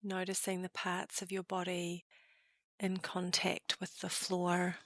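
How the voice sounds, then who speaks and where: Slow, hushed woman guiding meditation. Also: lowish voice, no room sound, clean studio sound. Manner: calm, gentle, unhurried phrasing with soft trailing endings. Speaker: meditation guide. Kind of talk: guided meditation, slow soothing narration.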